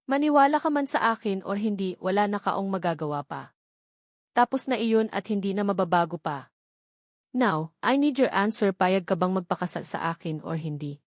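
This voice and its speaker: A woman's voice: mid-range voice, animated, moderate pace, dry recording, clean studio sound, close to an everyday voice. Serious, insistent billionaire man, read by a woman. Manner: serious, matter-of-fact. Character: serious, insistent male billionaire seeking marriage.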